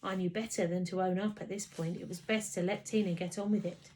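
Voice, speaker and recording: A woman narrating a children's story in an expressive storytelling style. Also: lowish voice, a little fast. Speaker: story reader.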